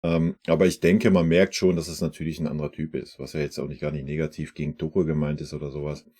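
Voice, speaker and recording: A man with a low, dark voice talks through a press conference clip as a fan. His delivery is casual and conversational, full of little fillers. The tone stays informal throughout. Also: little pitch movement, fast talker, slightly rough. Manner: chatty, uses fillers like "ja" and "ne". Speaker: fan channel host reacting to a press conference. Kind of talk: casual reaction commentary.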